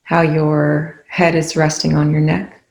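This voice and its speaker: A meditation guide with a noticeably creaky voice and a fairly even, low-key pitch. The delivery is soothing and unhurried, with long pauses between short instructions. The room adds a clear echo around the words. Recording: echoey room.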